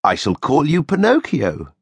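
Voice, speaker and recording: A lonely, gentle old carpenter talks to his puppet son in a warm fatherly tone, as a man voices him. The voice sits a little above the narrator's and has a husky edge. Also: a little high, wildly swooping pitch, a little slow, no echo, voice pushed up, exaggerated voice. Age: older.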